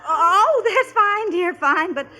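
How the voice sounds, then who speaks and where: A fussing, kindly mom in a vintage radio sitcom. Her voice is high and bright, hesitant and flustered as she tries to accommodate everyone. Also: lively intonation, a little slow, a faint crowd, exaggerated voice. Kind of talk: old-time radio situation comedy acting. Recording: vintage radio broadcast recording.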